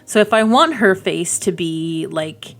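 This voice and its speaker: A woman's voice: on the low side, lively intonation, measured pace. A woman giving casual, chatty gameplay commentary as a video host, rambling informally with frequent asides. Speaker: gaming video creator and host.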